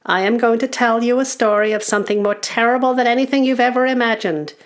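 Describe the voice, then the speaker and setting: A woman's voice: on the high side, quick pace, pitched above the performer's own voice, lightly acted. A woman voicing a stern older male goblin uncle who speaks with dramatic, commanding menace in a storybook. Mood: ominous. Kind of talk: storybook character voice.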